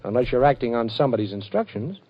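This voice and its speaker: An actor playing a quick-witted, wisecracking amateur sleuth in a 1940s radio detective drama, wry, glib and tough-talking. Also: male voice, very animated. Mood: cool, threatening. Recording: dull old radio broadcast, engine rumble behind.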